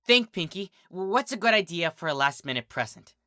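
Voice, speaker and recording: A fan reader voicing a young female unicorn filly who is flustered and defensive, speaking high and stammering. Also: big pitch swings, moderate pace, pushed and bright, no room sound, clean studio sound.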